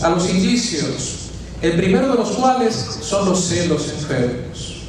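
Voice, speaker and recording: A man's voice: sits high, darkish tone, slight background noise. A man from the Dominican Republic with a Dominican Spanish accent, preaching a retreat sermon in a church, teaching through rhetorical questions and folksy asides. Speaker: preacher, friar. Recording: very echoey church, distant mic.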